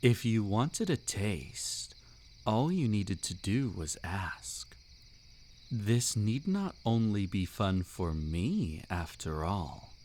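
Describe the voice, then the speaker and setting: A man acts out a seductive, commanding vampire count in an intimate audio drama. He speaks in a lowish register at a very slow pace, with suave, teasing formality and a possessive air. Faint night-time cricket noise sits behind the voice. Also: big pitch swings, darkish tone. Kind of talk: intimate roleplay audio drama, scripted monologue.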